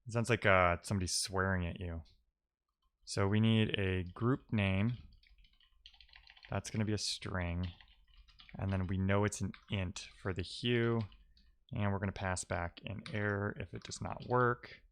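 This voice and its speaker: A man with a fairly low voice talks through his programming at a very slow pace. He sounds like a casual live streamer thinking out loud, muttering to himself and letting phrases trail away. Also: fairly even intonation, smooth.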